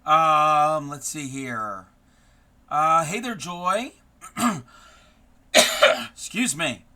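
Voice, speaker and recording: A hoarse man who is losing his voice, hosting a casual live stream and chatting warmly with viewers by name, with many ums. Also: fairly high pitch, animated, drawn-out words. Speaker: craft live-streamer host.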